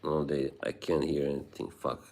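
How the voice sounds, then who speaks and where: A man's voice: rumbling low, level tone, normal speed, noisy background. A man with an Eastern European accent hosting a casual livestream, chatting with viewers, laughing often and swearing casually. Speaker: livestream host.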